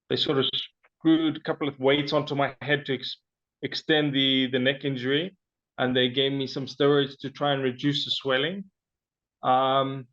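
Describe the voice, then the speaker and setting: A man from Zimbabwe recounts his own story as an interview guest, pausing often and restarting his sentences. His voice sits a little high. The recording sounds dull and lo-fi. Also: fairly bright tone. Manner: halting, frequent fillers and restarts.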